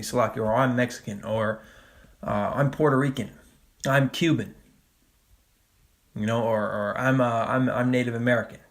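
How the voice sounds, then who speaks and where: A man teaching a Bible study, talking casually with frequent "all right?" and reading scripture passages slowly and deliberately. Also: medium pitch, fairly bright tone. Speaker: religious teacher.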